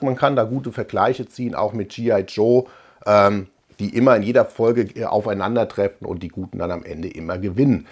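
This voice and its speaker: A man in his forties hosting a casual review video, talking conversationally and haltingly as he thinks aloud. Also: mid-range voice. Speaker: host of a retro cartoon review channel. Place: small room lined with shelves, indoors.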